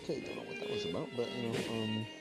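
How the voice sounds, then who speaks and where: A woman's voice: extremely low pitch, rapid-fire. A woman giving casual gameplay commentary as a streamer, informal and rambling. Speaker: game streamer. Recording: loud game music behind voice.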